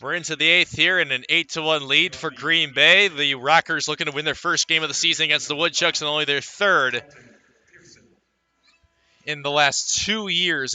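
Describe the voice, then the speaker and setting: A man calling live baseball play-by-play for radio, mixing pitch-by-pitch description with conversational asides. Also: sharp tone. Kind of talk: live sports commentary.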